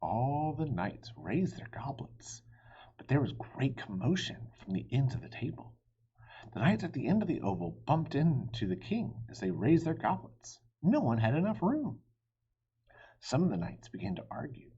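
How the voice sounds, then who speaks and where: Male storybook reader, very breathy husky voice, expressive and varied, chatty, dull lo-fi sound. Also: medium pitch. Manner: animated, pausing to chat to listeners. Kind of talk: expressive read-aloud of a children's story.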